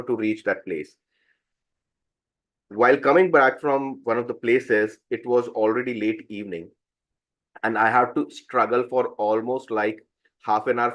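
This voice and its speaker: A man at a club meeting sharing a personal experience, pausing often to search for words. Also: medium pitch, poor audio. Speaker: meeting participant. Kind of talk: personal anecdote.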